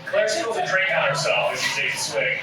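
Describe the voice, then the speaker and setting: A man's voice: medium pitch, animated, brisk. A man reading out stage directions as scripted narration at a live table read. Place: live reading in an echoey room. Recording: echoey room, distant mic, background noise.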